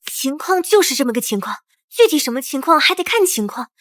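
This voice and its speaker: A woman voicing a flippant, scheming young princess in an animated drama, with a cheeky, wisecracking manner. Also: high voice, brisk, big-room sound. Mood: gleeful. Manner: cheeky, self-amused, wisecracking. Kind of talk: animated short-drama dubbing with comic inner monologue.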